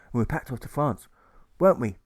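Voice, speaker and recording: A man's voice: mid-range voice, lively intonation, quick pace, darkish tone, dry recording, studio quality, natural voice. A man voicing a learned, chatty schoolmaster in an audiobook, bookish and seeking agreement with tag questions. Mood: recounting.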